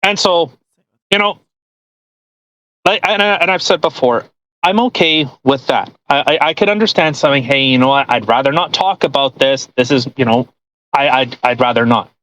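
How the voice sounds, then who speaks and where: A man's voice: medium pitch, normal speed, a bit bright, rough recording. A man hosting a podcast in casual, mocking commentary with crude joking. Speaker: podcast host.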